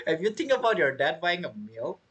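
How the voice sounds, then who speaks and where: A man's voice: high-pitched, wildly swooping pitch, a little slow, a bit bright, husky. A man giving casual playthrough commentary as a gaming streamer, chatty and musing aloud.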